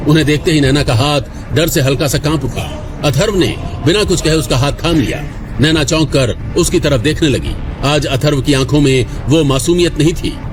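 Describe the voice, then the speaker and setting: A man's voice: fairly high pitch, normal speed, background noise. A man narrating a scripted audio drama in a dramatic storytelling style.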